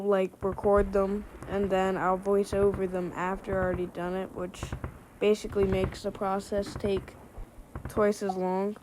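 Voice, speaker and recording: A woman giving a rambling gameplay commentary voice-over, slightly hoarse from a winter cold and full of fillers and restarts. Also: mid-range voice, a little hiss or hum. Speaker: gameplay video creator.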